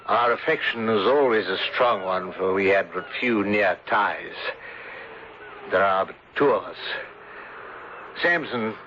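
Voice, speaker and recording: An actor playing a falsely solicitous, scheming uncle with a smooth, avuncular manner in an old-time radio drama. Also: male voice, fairly low pitch, unhurried, long pauses, lightly acted. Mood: smoothly solicitous. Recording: dull old radio broadcast, faint noise.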